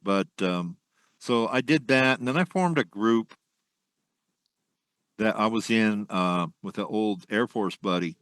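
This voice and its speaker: Low-voiced older man reminiscing. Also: a little slow, pauses often, fairly bright tone, smooth, no echo. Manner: rambling, reminiscing, many ums. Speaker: guest, musician. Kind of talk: casual interview chat.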